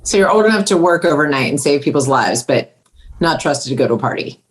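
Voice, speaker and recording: A woman's voice: low voice, animated, brisk. A woman playing a witty, sarcastic sixteen-year-old girl at a script table read, dry and flippant. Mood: dry sarcasm.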